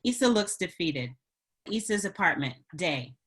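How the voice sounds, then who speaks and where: A woman's voice: on the low side, very animated, a little slow, a bit bright, breathy edge. A woman reading screenplay stage directions as a scripted narrator.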